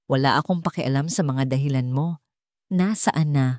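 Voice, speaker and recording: A woman's voice: rumbling low, normal speed, darkish tone, no echo, voice pushed down. Woman playing scheming villainess, Filipino accent, cold, impatient, curt, menacing, audio drama, dry close recording.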